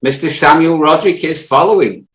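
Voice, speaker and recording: A man's voice: fairly high pitch, fairly quick, fairly bright tone, echoey room. British man hosting a casual chat show. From United Kingdom. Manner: jokey, teasing banter. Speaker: podcast host.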